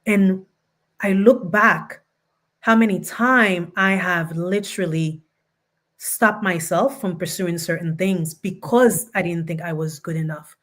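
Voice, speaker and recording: Lowish-voiced woman with a foreign accent. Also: steady tone, takes it slowly, fairly bright tone. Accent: non-native English. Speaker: panelist, attorney. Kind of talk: personal, reflective panel talk.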